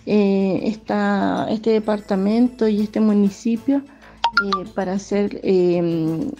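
A woman with a Chilean accent giving an interview statement as an official, halting and searching for her words. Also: mid-range voice, steady tone. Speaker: municipal official being interviewed. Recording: dull lo-fi interview clip. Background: faint music.

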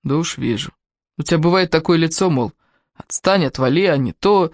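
A woman's voice: sits low, expressive, normal speed, dry recording. Woman reading as a fatherly, restrained male teacher. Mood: teasing. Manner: restrained, gently teasing. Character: kind, fatherly, teasing adult male college teacher. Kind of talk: audiobook character dialogue.

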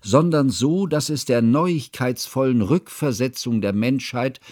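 An actor playing an earnest, troubled scholar who narrates a literary radio drama in a measured, formal, precise way. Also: male voice, mid-range voice, a little mellow, no echo, studio quality, close to an everyday voice.

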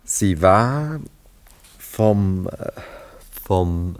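A low-voiced, dreamy romantic lover. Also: male voice, expressive, drawn-out words, exaggerated voice. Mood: confiding. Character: dreamy romantic young man, self-absorbed melancholic lover. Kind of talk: German radio play acting, literary dialogue.

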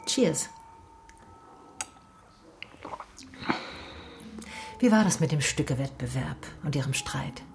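An actress playing an observant private detective who narrates and questions in a measured, pointed way in a radio drama. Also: female voice, deep voice, lively intonation, a little mellow, a little hiss or hum. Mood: probing. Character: female private detective, observant, probing, coolly wry.

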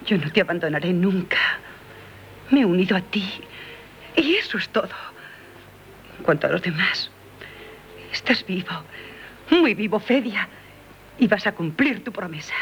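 Radio drama passionate young woman in love, mid-pitched, pleading yet resolute, faintly noisy tape. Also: animated, a little slow, long pauses, lo-fi sound. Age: young adult. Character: devoted young lover, insistent, resolute and passionate. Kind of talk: 1970s radio drama acting. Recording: noisy 1970s radio broadcast recording.

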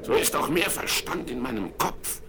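An actor playing a crafty one-legged pirate cook who plots in a low, sly voice in a children's radio play. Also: male voice, expressive, moderate pace, lightly acted. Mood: conspiratorial. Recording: noisy old vinyl radio play.

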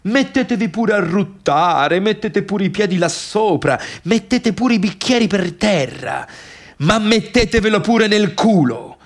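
Sarcastic failed musician, Tuscan accent, slightly high voice, colloquial complaining, bitter theatrical radio monologue. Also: male voice, expressive, measured pace. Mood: irritated, sarcastic. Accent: Tuscan Italian. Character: bitter, sarcastic male failed pianist turned music critic, grumbling at guests.

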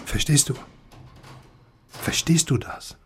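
An actor playing a gruff, loyal and hot-tempered male friend with a blunt, earthy manner in a radio crime drama. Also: slow, a bit bright, a little hiss or hum. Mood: moved, earnest.

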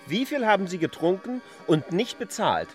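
Animated, courteous landlord. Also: male voice, high-pitched, moderate pace, soft background music. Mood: magnanimous. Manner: formal, courteous. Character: polite lame landlord, Herr Lehmann, generous but insistent. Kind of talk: radio play acting.

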